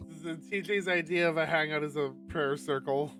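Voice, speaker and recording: A man streaming a visual novel, reading it aloud and commenting casually and expressively, thinking out loud and swearing. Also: fairly high pitch, steady tone, a little fast. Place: live game stream. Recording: distant mic, music over voice.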